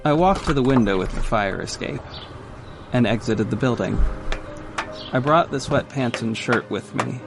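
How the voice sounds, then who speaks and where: A man's voice: mid-range voice, animated, moderate pace, vocal fry, long pauses. A man playing a wry, bewildered masked vigilante detective, narrating his own story in an audio drama with dry self-questioning. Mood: wry recounting. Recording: faint music under the voice.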